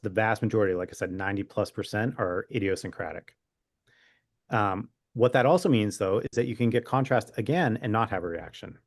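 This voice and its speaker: Low-voiced man explaining things, dry close recording. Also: dry recording. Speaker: physician guest expert. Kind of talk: conversational expert explanation in an interview.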